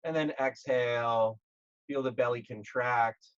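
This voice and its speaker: A man leading a guided yoga class, speaking gently and calmly in short, measured phrases with pauses. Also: mid-range voice, clean tone, dull audio. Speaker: yoga instructor.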